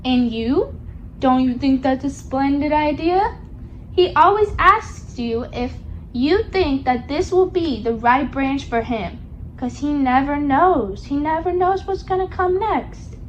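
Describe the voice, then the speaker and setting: A woman reads a picture book to a young child in a high, sing-song voice. She takes her time and keeps coaxing the listener with simple questions. There is slight background noise behind her. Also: lively intonation, takes it slowly, highs cut off. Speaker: storybook reader for a young child.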